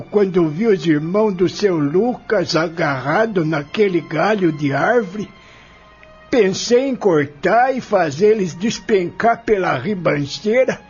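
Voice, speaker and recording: An actor playing a humble, weary old farmhand in an audio drama, calm and remorseful, with simple rustic speech. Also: male voice, sits high, husky, full character voice. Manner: slow, simple rustic speech with dropped grammar. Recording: dull lo-fi audio with music underneath.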